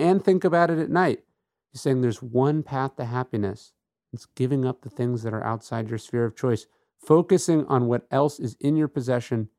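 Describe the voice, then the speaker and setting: A man's voice: medium pitch, darkish tone, no room sound, clean studio sound. A middle-aged man hosting a podcast, talking through ideas in an earnest, emphatic, conversational monologue and often checking in with "right?". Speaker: podcast host. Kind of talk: conversational reflective monologue on philosophy.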